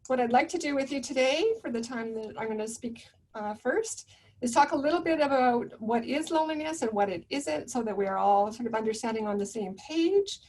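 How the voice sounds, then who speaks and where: Soft-spoken woman presenting a webinar. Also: a little high, animated, brisk, far from the mic, low-quality recording. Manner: soft-spoken, gentle and reflective.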